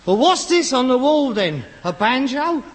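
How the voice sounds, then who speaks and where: A man's voice: extremely high pitch, very animated, a little slow, exaggerated voice. An actor playing a frustrated, pretentious rag-and-bone man of about forty with a working-class London accent, exasperated, grandiose and sarcastic in a sitcom. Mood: defiant. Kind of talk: scripted sitcom acting. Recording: reverberant hall, old sitcom recording.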